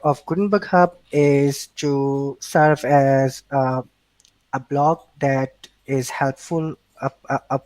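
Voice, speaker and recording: A man's voice: fairly high pitch, darkish tone, dry recording, low-quality recording. A man from Pakistan with a Pakistani accent, a native Urdu speaker, answering interview questions in a hesitant way with many restarts and fillers. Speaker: interview guest. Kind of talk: casual remote interview.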